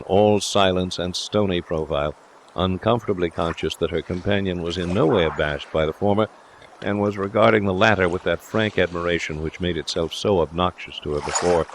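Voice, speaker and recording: A man's voice: sits low, moderate pace, a little hiss or hum. A man reading literary fiction aloud as an audiobook narrator, in a scripted storytelling style.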